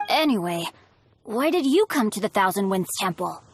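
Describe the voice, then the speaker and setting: A woman voicing an earnest, excitable teenage boy adventurer in a video game, boastful yet kind, with a boyishly dramatic delivery. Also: mid-range voice, moderate pace, over music. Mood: eager, proud.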